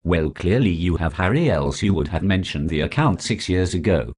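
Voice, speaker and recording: A scornful prosecutor, played by a man, who cross-examines with biting sarcasm. His voice is very deep, yet it swoops up and down with lively scorn. He treats every answer with open contempt. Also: normal speed, breathy edge. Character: sneering foul-mouthed prosecuting lawyer. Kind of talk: comedy sketch acting.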